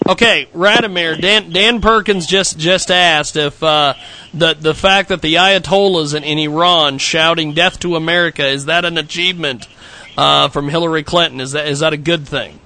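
A man moderates a live radio panel in a high, forceful voice with a bright edge. He directs who speaks next and restates questions for the guests. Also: steady tone, moderate pace. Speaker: talk show host moderating a panel.

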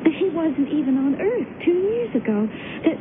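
An actress playing an anxious, devoted wife with a hesitant, stammering, pleading manner, in 1970s radio drama style. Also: female voice, big pitch swings, normal speed, phone-quality sound. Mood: troubled, pleading. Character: anxious, devoted young wife of a returned husband. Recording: noisy, thin, rumbling old radio broadcast.

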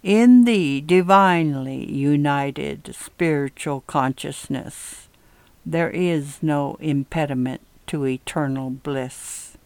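Female reader for religious essays, very unhurried and measured, dry studio-like sound. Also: deep voice, gravelly, a little mellow, no room sound. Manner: measured, deliberate phrasing. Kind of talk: scripted read-aloud of a religious essay.

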